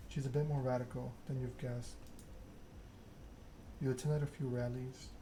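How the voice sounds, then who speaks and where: Man, ASMR game narration, flat even pitch, middle register, measured reading, clear background noise. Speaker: game streamer reading a game aloud.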